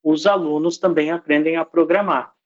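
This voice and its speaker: A man's voice: fairly high pitch, fairly even intonation, moderate pace, highs cut off. A man with a Brazilian Portuguese accent, patiently explaining a technology topic step by step in unscripted talk.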